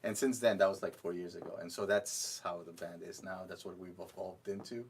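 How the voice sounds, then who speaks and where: A man born in Ecuador and raised in New York, telling in a relaxed way how his band came together. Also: deep voice, a little fast, a little hiss or hum. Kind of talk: casual interview chat.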